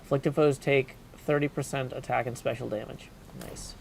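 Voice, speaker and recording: Streamer chatting casually thru a game, mid pitch, thinking out loud, noticeable background noise. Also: steady tone, moderate pace, vocal fry. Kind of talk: casual livestream gameplay commentary, reading game text aloud.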